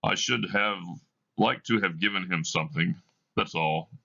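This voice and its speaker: A man's voice: low voice, normal speed, gravelly, bright, forceful tone, lo-fi sound, heavily acted. A man reading aloud as a bitter old miser, grieving and full of regret, with a broken, mournful delivery. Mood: regretful. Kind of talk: classroom read-aloud of a play.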